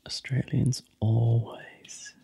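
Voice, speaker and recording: Slow whispering New Zealand man. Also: mid-range voice, monotone, creaky. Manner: soft slow whisper with frequent ums. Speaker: ASMR whisperer. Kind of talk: ASMR whispering.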